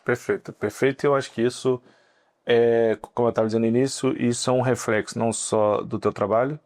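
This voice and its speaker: A man's voice: mid-range voice, fairly even intonation, brisk. A man co-hosting a podcast, summing up and congratulating the guest, tagging sentences with little question words. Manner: warm congratulating wrap-up, filler "né" tags. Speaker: podcast host. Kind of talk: podcast interview.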